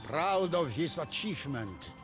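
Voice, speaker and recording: A man giving a solemn, theatrical scripted narration of a story in a measured way. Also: a little high, sing-song. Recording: music behind the voice. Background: prominent music.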